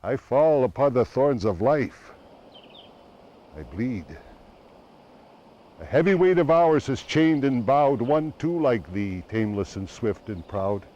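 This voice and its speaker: A man giving a dramatic poetry recitation in a solemn, declamatory manner with deliberate phrasing. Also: mid-range voice, sing-song, warm tone, muffled recording. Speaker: poetry reader.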